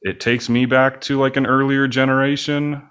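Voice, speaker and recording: A man's voice: mid-range voice, level tone, normal speed, fairly bright tone, clear, resonant tone, lo-fi sound. A middle-aged man being interviewed on a podcast as a musician, talking casually in a rambling, hedging, self-effacing way. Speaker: podcast guest, band musician and studio owner.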